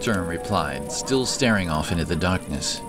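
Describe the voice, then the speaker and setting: Low deep guy narrator reading a fantasy tale, loud music n effects behind him. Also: animated, normal speed. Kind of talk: scripted fantasy story narration.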